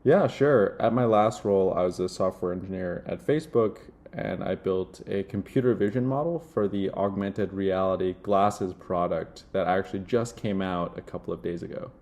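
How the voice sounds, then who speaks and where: A young man with a low-pitched voice. He talks casually, as if demoing a product indoors, and his delivery halts often on filled pauses. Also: darkish tone. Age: young adult. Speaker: software demo presenter playing a job candidate. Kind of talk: casual product demo and phone screen.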